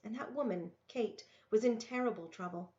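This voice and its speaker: A woman's voice: fairly high pitch, normal speed, darkish tone. Woman narrating a story in an echoey room. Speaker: read-aloud narrator. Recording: roomy, echoey, dull lo-fi sound.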